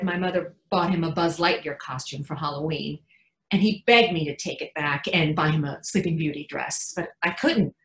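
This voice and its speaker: A woman's voice: sits low, a bit bright. Actress as anxious mother, confiding, echoey room. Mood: wry, exasperated. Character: anxious, protective mother of a young son, wry and frank. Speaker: actor in a readers theatre performance. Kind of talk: dramatic stage monologue, conversational storytelling.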